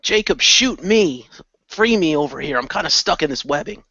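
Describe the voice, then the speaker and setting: A man's voice: a little high, expressive, normal speed, bright, forceful tone, slightly rough, no room sound, highs cut off, pitched above the performer's own voice, heavily acted. A man voicing a dragon hero in an amateur radio play. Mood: strained, urgent. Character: male dragon hero with a tail, excitable.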